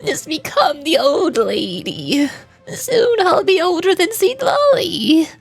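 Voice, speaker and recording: A woman's voice: extremely high pitch, big pitch swings, slow, gravelly, faint music behind, full character voice. A woman voicing a doddering, forgetful old lady with a wistful, muddled way of talking.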